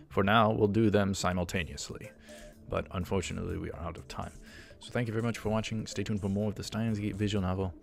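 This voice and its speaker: Male let's play host, low register, halting read-aloud narration with faint music underneath. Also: steady tone, creaky. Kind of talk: reading a visual novel aloud. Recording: music behind the voice.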